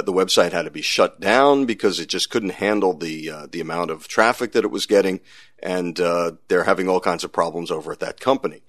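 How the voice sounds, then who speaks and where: A man's voice: deep voice, no room sound. An American man hosting a science podcast in a conversational, wry way with dry humor. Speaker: podcast host.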